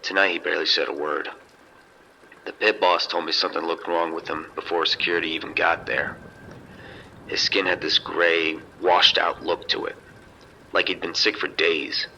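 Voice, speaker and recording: A man playing a seasoned, unrattled casino security chief giving measured, detailed reports over a two-way radio in an audio drama. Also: low voice, level tone, vocal fry, sharp tone, exaggerated voice. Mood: grave, composed. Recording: dull, noisy two-way radio sound.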